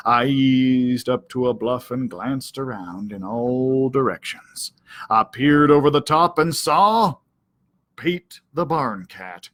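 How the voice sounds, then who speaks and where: A man reading a children's story aloud as a library storyteller, dramatic and deliberate in his delivery. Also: medium pitch, a bit bright. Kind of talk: expressive children's audiobook-style read-aloud.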